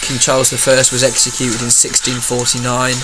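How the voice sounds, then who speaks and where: A man's voice: mid-range voice, completely flat delivery, moderate pace, noisy background. A young man, congested from a cold and breathing heavily, rambling bluntly as he answers viewer questions. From England. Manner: rambling, blunt, swears freely. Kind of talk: rambling casual monologue. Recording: loud background music.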